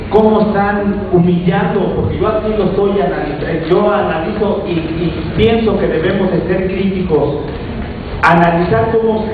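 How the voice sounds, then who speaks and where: A man with a Mexican Spanish accent, speaking up from the audience at a lecture, halting and full of fillers and restarts. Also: sits high. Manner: hesitant, many fillers and restarts. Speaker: audience member commenting. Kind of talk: halting public comment at a lecture. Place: large auditorium. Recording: echoey hall, distant tinny mic, noisy.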